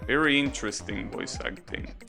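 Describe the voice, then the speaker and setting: A man's voice: medium pitch, animated, moderate pace, vocal fry. A man giving casual, rambling live commentary as a game streamer, chatting and explaining as they go. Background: video game music.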